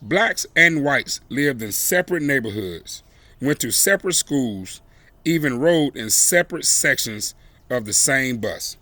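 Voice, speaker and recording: Slow, bright-voiced man reading a story aloud. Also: mid-range voice, lively intonation, dry recording. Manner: deliberate, emphatic phrasing with dramatic pauses. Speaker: volunteer storyteller reading a children's book. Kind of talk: expressive read-aloud storytelling with brief asides.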